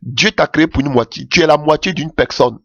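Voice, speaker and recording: Fired up guy preaching, gravelly voice pitched kinda high, keeps shouting alleluia. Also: brisk, no echo, highs cut off. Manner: impassioned, rising pitch with frequent alleluias. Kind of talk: sermon.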